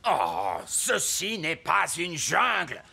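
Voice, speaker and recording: A man's voice: fairly high pitch, wildly swooping pitch, slow, sharp tone, heavily acted. A man playing a proud, grumbling wise old elf in a cartoon dub, pedantic and easily indignant. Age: older. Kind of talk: animated cartoon dub acting. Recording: clear noise behind voice.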